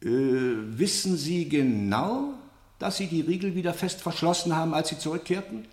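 An actor playing a stern military general who interrogates in a probing, sardonic and authoritative way in a radio play. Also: male voice, a little high, moderate pace, darkish tone, close to an everyday voice. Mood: probing, sceptical. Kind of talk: German radio play acting. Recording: roomy, echoing studio radio play.

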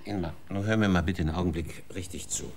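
An actor playing a weary man who urgently and gently pleads in a radio drama. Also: sits low, fairly even intonation, fast. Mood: pleading.

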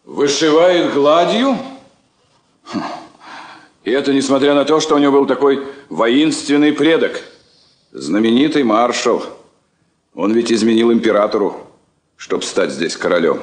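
An actor playing a courteous, inquisitive Soviet embassy counsellor in a radio play. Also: male voice, mid-range voice, lively intonation, measured pace, long pauses, lightly acted. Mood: curious, bemused. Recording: echoey old studio recording.

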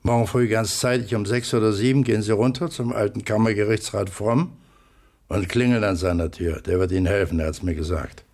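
An actor playing a stern, stubborn middle-aged father in a radio drama, terse and authoritative, with a husky, gravelly voice. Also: lowish voice, fairly quick, darkish tone.

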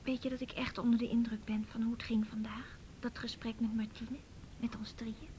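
A high-pitched young woman, played by an actress in a radio drama. She is outspoken and inquisitive, with an emotional, probing delivery. A faint rumble sits behind her. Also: flat delivery, normal speed, a little mellow, close to an everyday voice. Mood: probing, frustrated. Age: young adult. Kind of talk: naturalistic Dutch radio drama acting.

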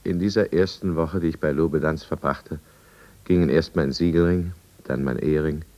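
A brooding drunkard narrating an old German radio play in the first person. His voice is extremely deep. The delivery is deliberate and confessional. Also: a little mellow, highs cut off. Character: brooding male drunkard recounting his own downfall. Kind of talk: scripted radio drama narration, 1950s.

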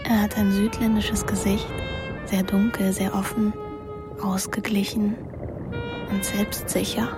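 A woman's voice: medium pitch, flat delivery, unhurried, pauses often, close to an everyday voice. An actress playing the candid, musing inner voice of a young woman in a radio play. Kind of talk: radio play interior monologue. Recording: loud music behind the voice.

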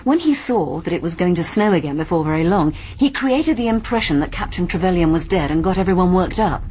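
A woman's voice: lowish voice, lively intonation, a little fast, a little mellow, lightly acted, low-quality recording. An actress playing a keen, clever young woman sleuth with an English accent in a radio mystery drama. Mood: eager, explaining. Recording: faint background noise.

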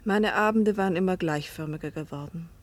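An actress in a German radio play voicing a lonely, fearful, resigned wife, breathy and often hushed. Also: female voice, lowish voice, a little fast, no echo, natural voice. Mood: melancholy, reflective. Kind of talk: German radio play acting with first-person narration.

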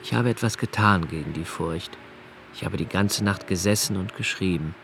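An actor playing a brooding, anxious man of twenty-eight in a radio play, narrating his notebooks in a measured, reflective way with deliberate pauses. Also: low-pitched, fairly bright tone, a little hiss or hum. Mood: weary, melancholic. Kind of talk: literary radio play monologue, first-person narration.

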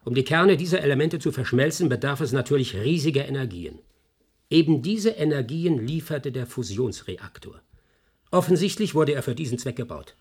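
Slightly husky, persistent male investigator. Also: medium pitch, animated, a little mellow. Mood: explanatory, assured. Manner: measured, explanatory, insistent. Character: sharp, persistent male investigator explaining his findings. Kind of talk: German radio play dialogue.

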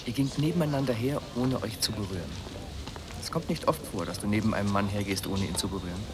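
An actor playing a jealous, brooding man in a radio drama, measured and lyrical as he probes. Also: on the low side, noisy background, close to an everyday voice. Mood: jealous, needling. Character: jealous man, brooding and poetic, quietly needling.

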